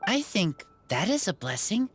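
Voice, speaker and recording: A woman playing an earnest, shy teenage boy in a video game, speaking in halting, simple, terse phrases. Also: medium pitch, very animated, faint music behind, lightly acted. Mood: sincere. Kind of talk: video game character acting.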